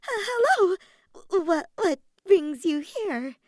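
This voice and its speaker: Shrill panicky young actress, stammering, breathless, dramatic, loud, storybook romantic comedy. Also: female voice, expressive, very slow, dry recording, studio quality, exaggerated voice. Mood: nervous, flustered.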